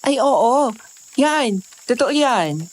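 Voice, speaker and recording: A wary young Filipino woman hiker , acted by a woman, superstitious and chatty in an audio drama. She speaks high and slowly over faint cricket ambience. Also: expressive, a little hiss or hum. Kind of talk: conversational audio drama acting.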